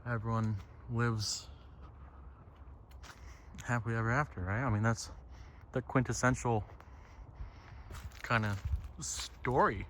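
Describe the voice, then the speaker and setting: A man thinking aloud in a halting, casual, reflective monologue while walking outside on a trail. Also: mid-range voice, steady tone. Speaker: solo vlogger. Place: outdoors, walking on a trail by a lake. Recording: outdoor ambient noise.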